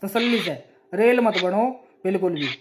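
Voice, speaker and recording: A man's voice: sits high, normal speed. A man arguing insistently in a religious debate over a call, repeating himself and cutting in. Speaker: channel host debating a caller.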